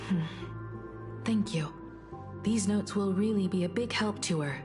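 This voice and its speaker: A calm and thoughtful daughter played by a woman in a video game. She speaks slowly and solemnly at a medium pitch, resolute in temper. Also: music in the background, close to an everyday voice. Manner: measured, solemn. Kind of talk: video game character acting.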